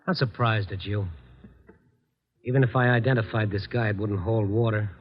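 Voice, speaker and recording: An actor playing a menacing, trigger-happy gangster in an old radio crime drama, talking in terse, fatalistic slang. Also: male voice, fairly low pitch, fairly even intonation, normal speed, close to an everyday voice. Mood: cool, threatening. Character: hard-boiled, trigger-happy gangster killer, fatalistic and touchy. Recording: dull, noisy vintage radio recording.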